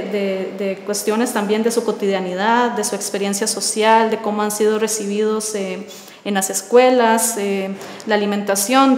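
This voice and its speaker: A woman, answering interview questions thoughtfully with many filler pauses. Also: medium pitch, slight background noise. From Costa Rica. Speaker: artist in residence. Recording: roomy echo with long reverb.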